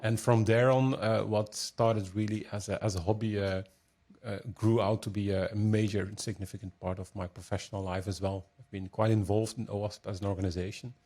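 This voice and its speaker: Podcast guest: Belgian man, 50s, Dutch accent, lowish voice, halting and filler-heavy. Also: steady tone, darkish tone. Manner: hesitant, many restarts and fillers. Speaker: guest, security consultant. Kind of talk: casual interview chat. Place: home, in the evening.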